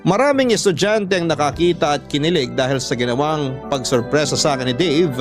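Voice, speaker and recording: A Filipino man reads a listener's letter as the host of a radio drama. His voice sits a little high, in scripted storytelling style, with background music underneath. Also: fairly quick.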